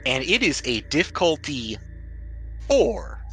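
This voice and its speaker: A man with a bright, forward voice runs a tabletop game. He narrates in a casual way and slips into irreverent, joking banter. Faint music plays behind him. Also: fairly high pitch, big pitch swings, takes it slowly. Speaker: game master.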